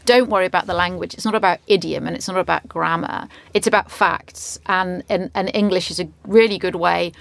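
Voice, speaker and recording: A woman who trains journalists, speaking in a radio discussion with animated, emphatic repeats. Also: fairly low pitch, a little fast, gravelly, hardly any pauses, dry recording.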